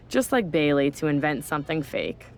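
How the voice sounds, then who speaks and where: A woman's voice: deep voice, sing-song, a little slow, vocal fry, slight background noise, full character voice. An actress playing a sarcastic, no-nonsense woman general manager in a wrestling video game, dry and authoritative. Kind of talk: video game cutscene acting.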